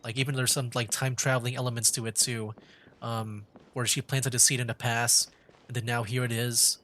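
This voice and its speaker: A man streaming a video game, chatting casually about it in a rambling, halting way and often repeating himself. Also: mid-range voice, flat delivery, a bit bright. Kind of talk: casual chat and game commentary. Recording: faint game music behind. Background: video game music.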